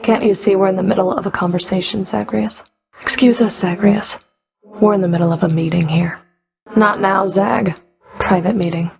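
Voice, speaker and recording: A stern underworld Fury from a video game, acted by a woman, her voice heavy with vocal fry at an ordinary female pitch. She is curt, dry and sardonic, giving orders with guarded, grudging authority. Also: fairly even intonation, moderate pace, darkish tone, lightly acted. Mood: curt, annoyed.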